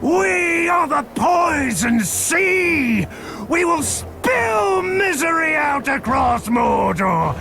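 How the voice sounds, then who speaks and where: High gravelly orc warlord yelling, slow and swoopy, game music behind. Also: male voice, very animated, full character voice. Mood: menacing. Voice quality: gravelly, shouting. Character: ranting male orc war chief, menacing. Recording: game audio over music.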